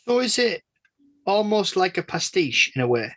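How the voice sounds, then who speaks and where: British male interviewer, slightly high pitch, dry recording, chatty, wordy, tentative phrasing. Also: sing-song, moderate pace, fairly bright tone, no room sound. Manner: tentative, wordy, repeating words as he builds questions. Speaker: podcast interviewer, stand-in host. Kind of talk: casual interview chat.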